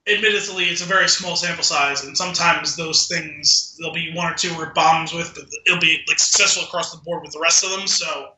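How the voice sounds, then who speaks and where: A man with a high, strikingly bright and forceful voice co-hosts a podcast. His delivery is casual movie chat, and the recording has an audible room echo. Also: fairly even intonation, fairly quick, few pauses. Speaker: podcast co-host. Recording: echoey, roomy sound.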